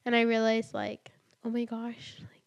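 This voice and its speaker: Young woman, early 20s, higher register, casual and chatty with lots of "like" fillers, podcast guest. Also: very animated, highs cut off. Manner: chatty, many "like" fillers, quick to laugh. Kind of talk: casual faith conversation.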